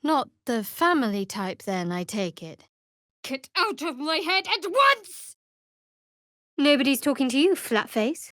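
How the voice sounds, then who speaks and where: A guarded young priestess of a dark goddess, speaks in a video game with a wry, clipped edge. Her voice sits a little on the high side for a woman. The sound is close and dry, a clean studio take with no room on it, and her sardonic bite stays controlled. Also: sing-song, measured pace, no room sound, exaggerated voice. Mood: angry, cutting.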